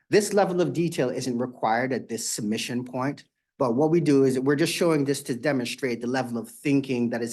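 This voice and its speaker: A man's voice: mid-range voice, darkish tone, breathy edge. A man presenting development plans at a community meeting, explaining steadily with frequent fillers. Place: meeting room.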